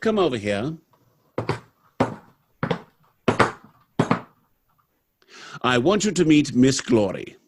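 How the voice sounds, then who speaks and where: A man's voice: mid-range voice, sing-song, moderate pace, noisy background, lightly acted. An actor playing a proud, unsentimental robot factory manager in an old-time radio drama, theatrical and confident as he explains things. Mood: brisk, proud. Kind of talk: live recreation of 1930s radio drama.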